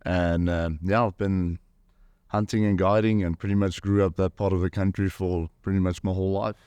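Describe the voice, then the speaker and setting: A man in his thirties with a South African accent, a hunter telling his story as a podcast guest in a relaxed, rambling way. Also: low voice, moderate pace, clean tone, no room sound, highs cut off. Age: 30s. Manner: rambling, many fillers and "pretty much".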